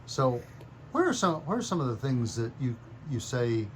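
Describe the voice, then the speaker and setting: Male interviewer, mid-pitched, casual. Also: sing-song, moderate pace, slight background noise.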